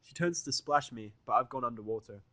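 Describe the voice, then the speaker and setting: Male story reader, medium pitch, wry informal first-person narration, recorded very dry. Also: a little fast, a bit bright, no echo. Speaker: fan fiction reader.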